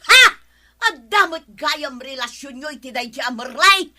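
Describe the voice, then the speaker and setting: A woman's voice: high voice, lively intonation, measured pace, sharp tone, exaggerated voice. A woman playing an indignant, quarrelsome village woman in a comedy drama, with a Filipino accent and an exclamatory way of talking. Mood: furious. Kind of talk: Ilocano comedy radio-drama acting.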